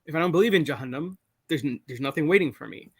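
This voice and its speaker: A young man calling in to a live show, talking in a halting way full of restarts and self-corrections. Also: on the high side, expressive, slightly rough, no echo, clean studio sound. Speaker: caller. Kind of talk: casual call-in conversation. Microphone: call-in over a video call.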